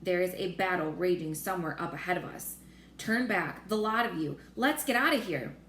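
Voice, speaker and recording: A woman's voice: on the low side, animated, moderate pace, a bit bright. Woman as a blustering, cowardly army chief. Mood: panicked. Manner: urgent, blustering exclamations. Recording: roomy echo with faint noise.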